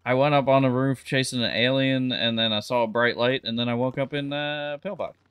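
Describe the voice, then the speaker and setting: A man's voice: mid-range voice, steady tone, fairly quick, fairly bright tone, clean tone, natural voice. A man playing an indignant, baffled police officer in a roleplay game, protesting defensively. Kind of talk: improvised online roleplay game.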